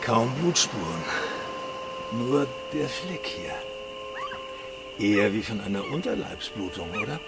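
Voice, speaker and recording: A man's voice: on the low side, very animated, unhurried, pauses often. An actor playing a blunt, insistent private investigator who questions his client in a German radio crime drama. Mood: probing. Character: blunt, probing male private investigator, needling and businesslike. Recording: studio radio play with music underneath. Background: music.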